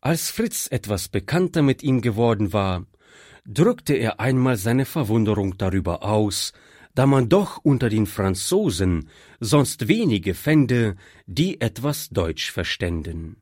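Male audiobook narrator, slow and measured, husky, lively pitch, dry close mic. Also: no echo. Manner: slow, measured, devout storytelling.

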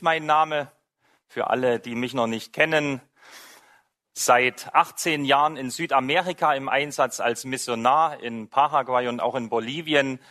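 A middle-aged man from Saxony with a Saxon German accent, preaching a sermon as a missionary, telling a story in a lively way. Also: mid-range voice.

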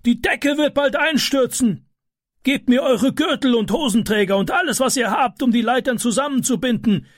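A man voicing a brave neighbor shouting urgent instructions at a fire. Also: very high-pitched, moderate pace, no echo, clean studio sound, voice pushed up. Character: brave adult male neighbor shouting orders.

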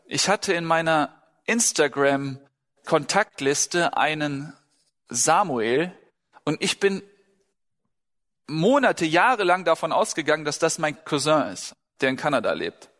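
A man's voice: a little high, expressive, takes it slowly, fairly bright tone. A man preaching to a congregation in a conversational, questioning way. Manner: conversational, asks listeners questions, acts out short dialogues. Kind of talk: sermon to a congregation. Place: large hall, speaking to a gathering. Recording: large hall with long reverb.

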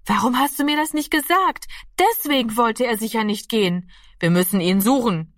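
A woman's voice: a little high, moderate pace, no echo, studio quality, far from the mic, voice pushed up, lightly acted. A woman voicing a worried mother who asks urgent questions. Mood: alarmed.

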